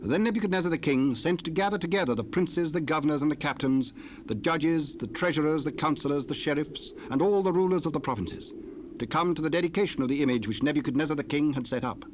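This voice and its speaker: A man narrating scripture in a measured, even storytelling manner. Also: on the high side, slight background noise.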